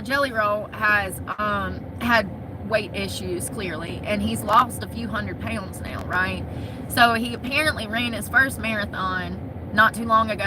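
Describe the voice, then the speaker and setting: A woman from Michigan talking casually to the camera in a chatty, candid, wisecracking way. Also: mid-range voice. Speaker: vlogger. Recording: noisy outdoor street sound.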